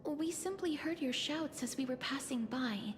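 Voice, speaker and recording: An actress playing a calm, noble young heroine in a video game, with formal, gentle, measured speech. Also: female voice, high-pitched, noisy background, lightly acted. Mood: reassuring. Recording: game music behind voice.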